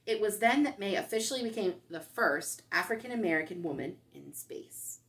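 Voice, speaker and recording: Female children's storytime host: medium pitch, expressive read-aloud delivery, simple direct phrasing. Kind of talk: picture-book read-aloud for young children.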